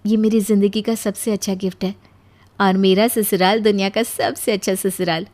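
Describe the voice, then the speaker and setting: A sweet young daughter-in-law from a cartoon family story, acted by a woman. She sounds dutiful and earnest, with an expressive, grateful way of speaking at a middling pitch. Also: moderate pace, lightly acted. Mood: happy, grateful. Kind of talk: animated Hindi moral story voice acting.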